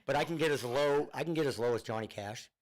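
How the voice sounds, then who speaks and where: A man's voice: mid-range voice, fast talker. A middle-aged man with a northern US accent, a singer guest on a radio show, chatting casually and rambling with many fillers and restarts, heard over a phone line. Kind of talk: casual radio interview chat. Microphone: Bluetooth phone line.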